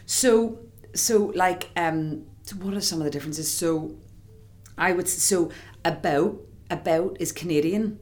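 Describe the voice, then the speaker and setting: An actress with an Irish accent, a woman, chatting excitedly in an interview with many quick restarts. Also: lowish voice. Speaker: musical theatre actress, guest. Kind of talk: casual interview chat.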